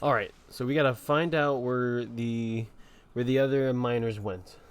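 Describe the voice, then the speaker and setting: A man giving casual, halting gameplay commentary, often repeating himself. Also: medium pitch, steady tone, clear, resonant tone. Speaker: video game streamer.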